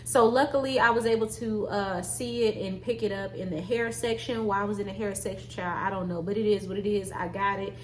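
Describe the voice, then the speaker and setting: A woman's voice: mid-range voice, fairly even intonation, fairly quick, hardly any pauses. Woman vlogging, chatty and folksy, calls folks y'all, bit of background noise. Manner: chatty, folksy, addresses viewers as y'all and child. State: nasal and congested. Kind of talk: casual vlog chat.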